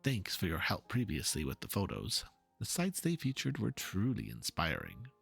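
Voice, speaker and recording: A man playing an earnest, pompous painter in a dramatic reading of game dialogue, speaking in a florid, halting, theatrical way. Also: low-pitched, wildly swooping pitch, gravelly, fairly bright tone, breathy edge, exaggerated voice. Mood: troubled, musing. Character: theatrical, earnest male painter, pompous and fervent about art.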